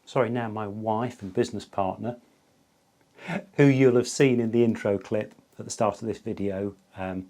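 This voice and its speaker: A man in his fifties telling a story casually to camera as a video host, chatty and enthusiastic, pausing for emphasis. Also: fairly low pitch, mellow. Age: 50s.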